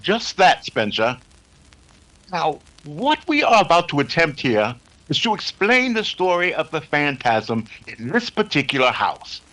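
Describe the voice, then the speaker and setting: An actor playing a formal, erudite doctor of psychic research in a 1930s-style radio drama, with slight hesitations. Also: male voice, fairly high pitch, sing-song, unhurried, a bit bright, low-quality recording. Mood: earnest, explanatory. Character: courtly, learned psychic investigator, a male doctor.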